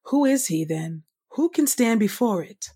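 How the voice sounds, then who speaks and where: A woman host reading scripture aloud from a script in a measured, solemn, clearly enunciated way. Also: fairly high pitch, lively intonation, warm tone. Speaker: podcast host and scripture reader. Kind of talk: scripted audio Bible reading.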